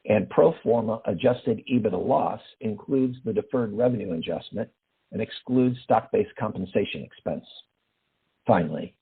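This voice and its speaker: A man, a finance executive, reading a formal financial report in a steady manner over a phone line. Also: fairly low pitch, slightly rough.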